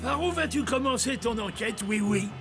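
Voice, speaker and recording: A woman's voice: on the high side, expressive, normal speed. A woman voicing a kindly, fussing gnome in a children's cartoon. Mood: friendly, helpful. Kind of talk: animated children's cartoon acting. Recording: loud music behind the voice.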